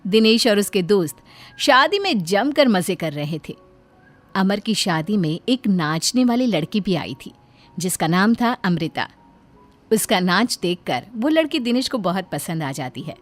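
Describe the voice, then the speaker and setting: A woman narrates a scripted tale as a storyteller. Her voice is medium in pitch, with faint music behind it. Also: very animated, measured pace. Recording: background music under the voice.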